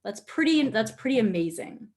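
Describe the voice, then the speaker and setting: A woman with a Nova Scotian Canadian accent teaching an informal online class in an animated, candid, jokey and chatty way. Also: on the high side, moderate pace. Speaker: social media instructor. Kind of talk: informal webinar teaching with casual asides.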